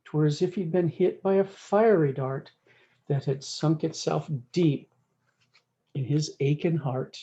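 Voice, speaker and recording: A woman's voice: deep voice, unhurried, warm tone, lo-fi sound. A woman reading a rhyming cowboy storybook aloud in a folksy way, with short asides to her listeners. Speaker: story time host reading a picture book.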